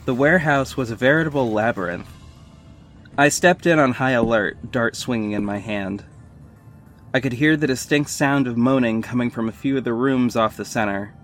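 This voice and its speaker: A man's voice: medium pitch, normal speed, a bit bright, close to an everyday voice. A man playing a wry young superhero who narrates his own mission in scripted first person. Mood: measured, descriptive. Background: music bed.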